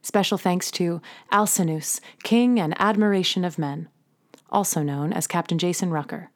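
A woman presents an audio drama with scripted narration. Her voice sits a little lower than most women's, and she reads as the production's narrator. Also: normal speed. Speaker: podcast narrator reading closing credits.

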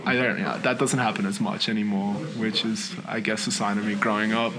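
A man's voice: fairly low pitch, flat delivery, a little fast, crowd noise. A young man from Australia, a guest artist chatting casually and rambling with many fillers in a noisy library. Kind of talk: casual interview chat. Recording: faint background noise in a library.

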